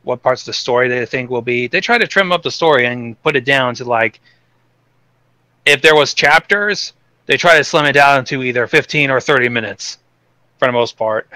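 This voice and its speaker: A man with a clear, bright voice and a dry sound speaks as a podcast guest. He lays out opinions in a casual, explanatory way, dropping swear words as he goes. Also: mid-range voice, moderate pace, no room sound.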